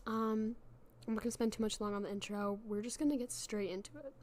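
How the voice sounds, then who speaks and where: A woman's voice: fairly high pitch, fairly even intonation, fast, a little mellow. Chatty young woman with a sick, worn voice. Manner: chatty, self-deprecating, many fillers and restarts. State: sick, losing her voice. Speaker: podcast host. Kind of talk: casual solo podcast chat.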